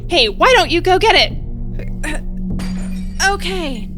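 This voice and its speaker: A woman's voice: very high-pitched, animated, slow pace, full character voice. A woman playing a nervous, sarcastic, rambling repairperson in a comedy horror audio drama. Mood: panicked, wisecracking. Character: flustered, wisecracking woman bluffing as a repairperson. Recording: faint music behind.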